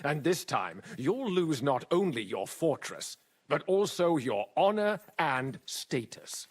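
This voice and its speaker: An actor playing a pompous, mock-polite and menacing royal official, a villain in a medieval video game. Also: male voice, fairly high pitch, big pitch swings, slow, slightly rough, exaggerated voice. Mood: threatening. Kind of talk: dramatic video game acting.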